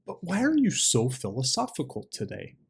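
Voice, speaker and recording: A man's voice: mid-range voice, wildly swooping pitch, moderate pace, vocal fry, a little hiss or hum. A man voicing a friendly, inquisitive crab in a storybook fable, earnest and full of concerned questions. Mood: concerned questioning.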